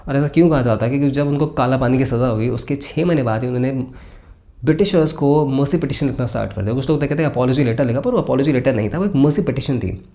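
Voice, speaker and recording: A man's voice: medium pitch, fast talker, dark tone. A man with an Indian accent hosts a conversational film review, explaining his points and mixing in English phrases.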